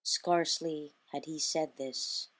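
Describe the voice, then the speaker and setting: A woman reading an epic poem aloud as a bedtime story, slow and deliberate. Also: low voice, fairly even intonation, fairly bright tone. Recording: echoey, long hall reverb.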